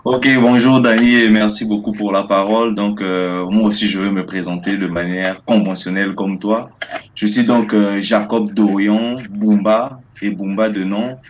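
Young man from the Republic of the Congo, lowish voice, polite and measured, livestream guest, headset on a video call. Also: steady tone. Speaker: guest student. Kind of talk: formal self-introduction on a livestream. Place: small indoor room. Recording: muffled video-call audio, faint hiss.

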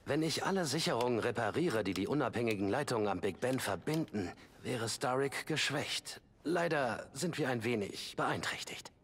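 Flustered, polite young inventor, very animated. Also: male voice, medium pitch, normal speed, few pauses, a little hiss or hum. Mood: earnest. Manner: polite, hesitant, formal. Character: flustered, earnest young male inventor in Victorian London. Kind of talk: German video game dub acting.

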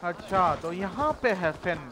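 Very fast high-voiced young male gamer. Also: expressive, heavy background noise. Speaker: gaming streamer. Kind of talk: casual gameplay commentary. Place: indoor room. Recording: loud game music and sounds behind.

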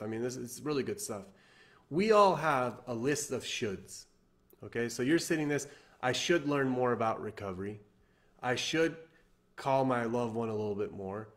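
A man in his thirties, from Iran, giving an earnest motivational talk to camera from his living room, repeating himself and restarting often. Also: mid-range voice. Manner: earnest, repetitive, many fillers and restarts. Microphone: webcam or laptop camera at desk.

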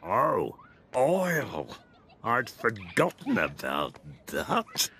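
An actor playing a bumbling, childish middle-aged man in an animated comedy, muttering haltingly in a throaty mumble. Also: mid-range voice, slight background noise, heavily acted. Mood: dejected. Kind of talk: British animated comedy acting. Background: cartoon background music.

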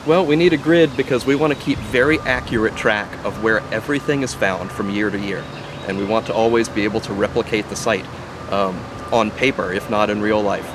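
A man's voice: on the low side, fairly quick, noisy background. A man in his thirties, an archaeologist, explaining his work in an outdoor interview. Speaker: archaeologist, surveyor. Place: outdoors by a wooden fence and trees.

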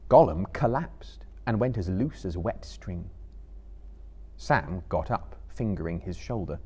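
A man in his thirties giving a theatrical, deliberate dramatic narration of a novel as a storyteller. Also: low voice, animated, a little mellow, breathy edge. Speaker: storyteller, reader. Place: seated in a book-lined room before a live audience.

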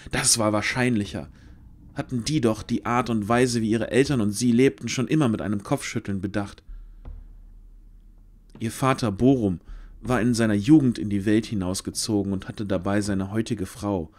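A man narrating a scripted fantasy audio drama in a measured storyteller's delivery with deliberate pauses. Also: lowish voice. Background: background music.

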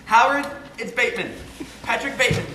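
Man acting a frantic, high-pitched confessing killer, echoey. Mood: nervous, halting. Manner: halting, stammering, breaking into nervous laughter. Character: frantic, confessing male murderer, unhinged and panicking. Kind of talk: theatrical monologue as a one-sided phone call. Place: large room at a banquet, recorded on camcorder. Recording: echoey hall, loud noisy camcorder audio.

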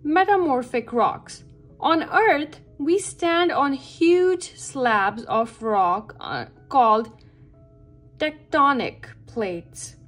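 Slow, lively woman reading to children. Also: high voice. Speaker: read-aloud narrator for children. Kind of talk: children's picture book read-aloud. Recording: background music under the voice. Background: music.

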